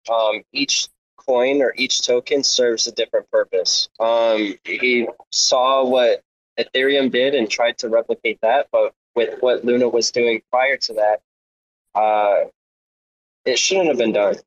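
A man's voice: mid-range voice, unhurried, no echo. A man in a casual online crypto discussion, explaining steadily with frequent ums and uhs. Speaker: crypto space co-host. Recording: dull, lo-fi online audio room.

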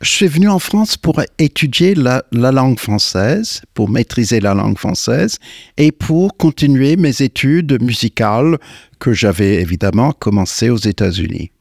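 An older American man, a singer and guest, answering in French in a slow, hesitant way with many fillers and restarts. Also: medium pitch, lively intonation, gravelly, no room sound, studio quality. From United States. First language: English. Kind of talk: radio interview. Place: radio studio.

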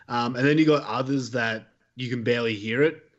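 A man's voice: medium pitch, moderate pace. A married man from Australia with a thick Australian accent, chatting casually as a podcast guest in a laid-back way. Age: adult, married. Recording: slightly muffled lo-fi audio.

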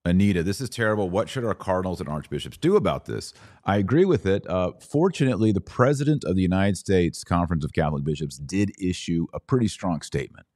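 Male podcast host, very low voice, dry close sound, earnest and conversational, turns indignant. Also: expressive, quick pace, a little mellow, no echo. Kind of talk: livestream podcast reading viewer comments.

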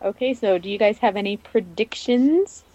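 A woman's voice: mid-range voice, expressive, normal speed, dark tone. A woman co-hosting a casual TV recap podcast, recorded with a dry, somewhat muffled sound. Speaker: podcast co-host. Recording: dry, dull lo-fi microphone sound.

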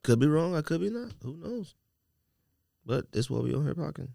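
A man's voice: a little high, sing-song, moderate pace, creaky, mellow, no room sound, studio quality. A man in casual podcast banter, emphatic and argumentative, repeating himself to drive a point home. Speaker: podcast co-host. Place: podcast studio.

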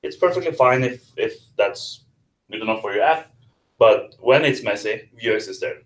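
A man's voice: mid-range voice, animated, normal speed, a bit bright. Man explaining in an echoey room. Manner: explains plainly with hesitant restarts. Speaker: workshop instructor guest. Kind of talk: casual interview answers.

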